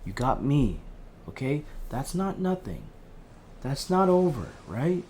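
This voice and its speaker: Slow, mellow, earnest male helpline counsellor acted. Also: mid-range voice, big pitch swings, slight background noise. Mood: reassuring, insistent. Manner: pleading reassurance, frequent tag questions. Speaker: helpline host. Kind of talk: dramatic audio fiction acting.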